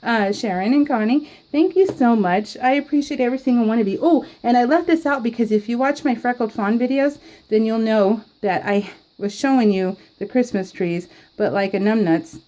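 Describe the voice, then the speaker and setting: A woman chatting casually to her viewers while she scrapbooks, giggling often and filling pauses with ums and restarts. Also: on the high side, warm tone, poor audio. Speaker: scrapbooking YouTuber. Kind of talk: casual chat while crafting.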